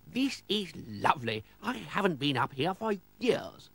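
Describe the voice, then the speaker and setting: A man voicing an eccentric, excitable older aristocratic manor owner in a plummy, theatrical way for a storybook. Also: fairly high pitch, unhurried, gravelly, slightly rough, pitched above the performer's own voice, full character voice. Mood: delighted.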